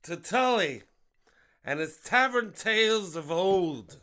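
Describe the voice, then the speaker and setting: Man playing a loud, slurring, chummy barfly. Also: high-pitched, animated, takes it slowly, a little hiss or hum, exaggerated voice. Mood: chummy, tipsy. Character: tipsy middle-of-the-night barfly, chummy, prying, grandiloquent. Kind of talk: audio drama acting.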